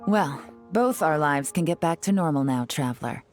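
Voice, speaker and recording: Game role: sardonic female agent, lowish voice, suave, measured, teasing poise, music underneath. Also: expressive, a little mellow. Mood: relaxed, teasing. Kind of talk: dramatic video game voice acting.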